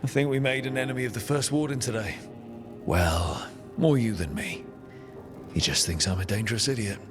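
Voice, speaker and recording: A man playing an earnest, level-headed hero in a cinematic fantasy game, speaking in a measured, wry, conversational way. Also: mid-range voice, lively intonation, lightly acted. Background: music.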